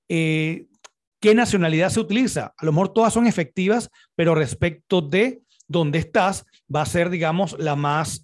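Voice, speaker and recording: A man, giving an informal legal lesson to camera with frequent fillers and self-corrections, into a boom-arm studio mic. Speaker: law lecturer. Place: small studio with a plain backdrop. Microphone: orange foam-covered mic on a boom arm.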